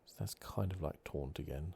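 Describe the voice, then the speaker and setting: Male ASMR gamer, extremely low hushed voice, noticeable noise behind him. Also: normal speed, creaky. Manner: soft-spoken, hushed, drifting into whispers. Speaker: gaming channel host. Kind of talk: ASMR video game playthrough commentary.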